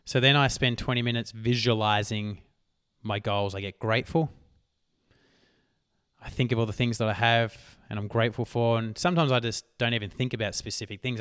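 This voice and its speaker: A man hosting a solo motivational podcast, talking emphatically and swearing casually, his voice worn hoarse. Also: on the low side, a bit bright, no echo, studio quality. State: losing his voice. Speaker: podcast host.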